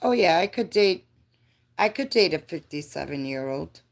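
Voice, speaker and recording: A woman's voice: low voice, animated, normal speed, vocal fry. A woman in her fifties chatting casually with live viewers, laughing often and answering comments. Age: 50s. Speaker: livestream host.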